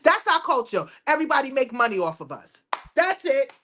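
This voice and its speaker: A woman's voice: high-pitched, very animated, fairly quick, a bit bright. A woman co-hosting a podcast, talking casually and emphatically, often checking in with "you understand?". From United States. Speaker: podcast co-host. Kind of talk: casual opinionated discussion.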